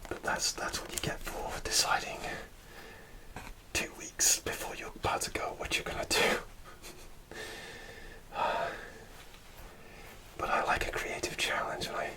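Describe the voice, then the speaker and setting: A man softly whispering a rambling ASMR story, with many fillers and restarts. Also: measured pace, long pauses. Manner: soft, relaxed whisper throughout. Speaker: ASMR creator.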